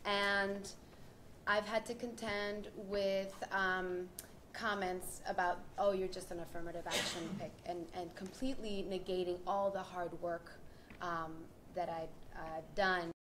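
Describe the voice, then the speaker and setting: Earnest low-voiced woman, halting delivery. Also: level tone, background noise. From New York City, United States. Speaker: panelist, artist. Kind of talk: reflective personal account at a panel discussion.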